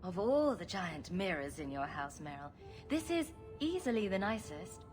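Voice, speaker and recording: A woman's voice: lowish voice, sing-song, normal speed, soft background music. A woman playing a sarcastic, playful adventurer hero in a video game, speaking with dry humor. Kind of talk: video game dialogue acting.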